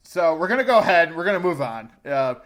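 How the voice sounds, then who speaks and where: Male podcast co-host, fairly high voice, casual and opinionated reviewer. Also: big pitch swings, quick pace, a bit bright. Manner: conversational, informal, opinionated. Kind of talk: casual film review chat.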